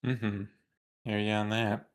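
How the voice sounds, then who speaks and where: A young man co-hosting a casual podcast, easygoing and quick to drop a chuckling aside. His voice is somewhat low and relaxed. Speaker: podcast co-host. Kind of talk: casual chat.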